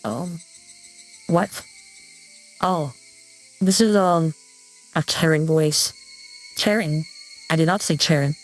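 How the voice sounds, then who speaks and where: A woman's voice: low-pitched, takes it slowly, long pauses, faint music behind, poor audio. Processed woman's voice, a voice-filter test. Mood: puzzled. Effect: voice-changer filter.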